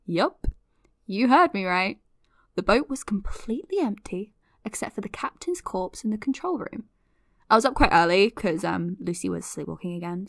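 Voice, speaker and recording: Brief: woman playing a curious podcaster, mid-pitch, chatty and teasing, very dry close sound. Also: no room sound, natural voice. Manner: chatty, playful, dramatic asides. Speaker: podcast host. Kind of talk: audio drama, chatty podcast monologue.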